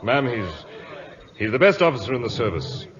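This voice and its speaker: An actor playing a loyal, earnest British naval lieutenant in an old radio drama, polite and deferential. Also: male voice, mid-range voice, sing-song, moderate pace, fairly bright tone. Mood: admiring. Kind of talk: 1950s radio drama acting. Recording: muffled old radio broadcast recording.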